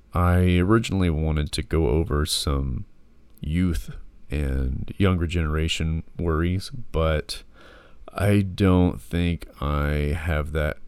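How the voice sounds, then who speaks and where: A man in his thirties talks alone into a close mic, his voice hoarse from illness, very deep and full of gravel. He rambles slowly and reflectively, with plenty of fillers. The recording is bone-dry and clear. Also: darkish tone, no echo. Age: 30s. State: hoarse from a respiratory infection. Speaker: podcast host. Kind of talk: casual solo monologue.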